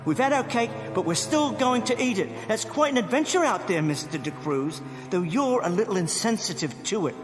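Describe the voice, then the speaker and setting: A haughty, high-voiced criminal professor. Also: male voice, expressive, fairly quick, few pauses, soft background music. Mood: grandly eloquent. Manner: formal, articulate, theatrical. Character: educated, haughty criminal mastermind nicknamed Professor, wary and verbose. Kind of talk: radio drama acting.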